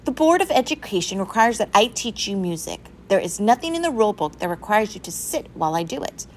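A woman's voice: a little high, very animated, moderate pace, gravelly, slight background noise, voice pushed up. A woman voicing a stern, principled female music teacher in a storybook, speaking in a firm, instructive way.

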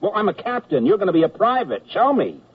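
A man acting as a jovial, brusque Army dental captain in a radio comedy, husky and emphatic, barking short orders. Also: sits high, sing-song, fast, exaggerated voice. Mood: emphatic, bossy. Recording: muffled 1970s radio recording.

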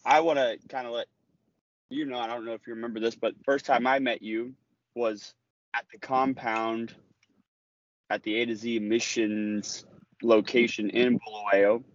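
A man's voice: mid-range voice, steady tone, normal speed, highs cut off. A man hosting a religious interview, opening with a preacherly reflection, then asking questions earnestly. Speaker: host and interviewer. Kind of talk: sermon-like intro then interview.

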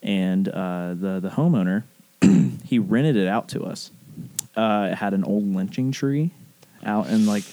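A man from the rural American South telling a personal story casually, in animated, rambling speech full of profane slang. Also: lowish voice, unhurried, no room sound. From southern United States, rural Alabama.

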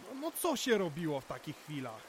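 A man's voice: fairly high pitch, huge pitch swings, a little fast, slight background noise. An actor playing a jovial, chatty older village man in an audio drama, coaxing his friend with stammered repetitions.

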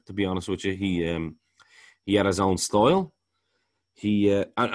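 A man with a baritone voice, talking casually as a podcast co-host and rambling, often repeating words while he searches for them. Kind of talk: casual music review chat.

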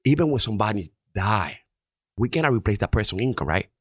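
Earnest Dominican-accented man, deep voice. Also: sing-song, fast, no echo, studio quality. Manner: earnest, repeats "right?" often. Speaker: insurance agency owner. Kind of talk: podcast interview.

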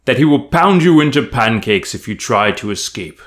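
A man's voice: medium pitch, lively intonation, moderate pace, fairly bright tone, soft background music, close to an everyday voice. A man voicing a learned creature who dryly translates threats in a matter-of-fact way for a fantasy audio story.

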